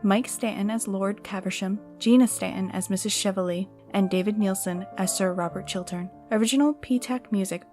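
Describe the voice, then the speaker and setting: A woman reads scripted credits as an announcer. Her voice is medium in pitch. Music is clearly heard behind her. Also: steady tone, a little fast, hardly any pauses, a little mellow.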